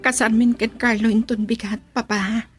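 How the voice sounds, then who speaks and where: A woman's voice: on the high side, level tone, moderate pace, soft background music, lightly acted. A woman playing a headstrong, insistent young bride-to-be who defies her father in an Ilocano radio drama. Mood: defiant.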